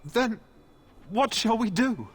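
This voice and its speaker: A man's voice: very high-pitched, animated, slow pace, fairly bright tone, husky, exaggerated voice. An actor playing a frightened male villager in a fantasy video game, speaking hesitantly and without hope. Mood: despairing. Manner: hopeless, hesitant. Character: despairing, frightened male villager who has lost hope.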